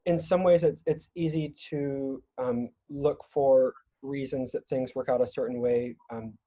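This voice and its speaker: Casting: man as panel moderator, soft dark husky voice, tentative phrasing, dull video-call sound. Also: mid-range voice, steady tone, unhurried. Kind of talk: casual moderated discussion.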